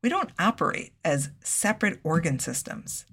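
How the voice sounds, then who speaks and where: A woman giving a measured, earnest scripted Torah lesson, weaving in Hebrew terms. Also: lowish voice, big pitch swings, creaky, a bit bright, slightly rough. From United States. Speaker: Torah teacher.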